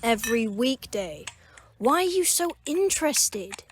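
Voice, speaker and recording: A high, very lively young woman's voice acted by a woman for an audio drama, curt and easily offended. She plays a proud, defensive bartender, with faint noise behind her. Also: a little slow. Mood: guarded, irritated. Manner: curt, indignant, sharp-tongued. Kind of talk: amateur audio drama acting.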